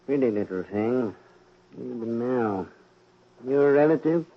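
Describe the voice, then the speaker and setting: An actor playing a matter-of-fact morgue attendant, blunt and casual, in 1940s radio acting style. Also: male voice, mid-range voice, lively intonation, a little slow. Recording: muffled old-time radio recording.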